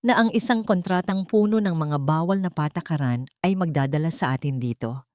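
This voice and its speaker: A woman voicing a gentle, devoted young woman in love, tender and earnest, in a narrated story. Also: lowish voice, fairly quick, no echo, studio quality, natural voice.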